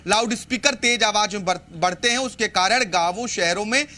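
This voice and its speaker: An Indian man giving a live news report in a formal, explanatory way, with halting restarts. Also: sits high, bright, forceful tone.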